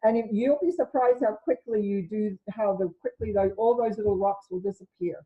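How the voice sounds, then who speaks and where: Loud-voiced woman teaching on a video call. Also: medium pitch, fairly quick, phone-quality sound. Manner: rambling, self-correcting delivery. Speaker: spiritual teacher hosting an online session. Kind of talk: informal talk to an online group.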